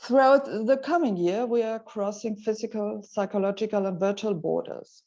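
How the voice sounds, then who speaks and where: A woman interviewer asking measured questions, hesitating with fillers. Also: mid-range voice, warm tone. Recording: dull lo-fi sound.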